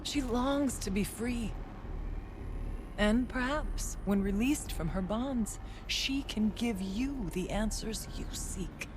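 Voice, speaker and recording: A male actor playing an earnest, solemn shaman-hunter of a frozen land in a video game, speaking in a measured, formal way. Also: sits high, expressive, noisy background. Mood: pleading, earnest. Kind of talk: video game cutscene dialogue.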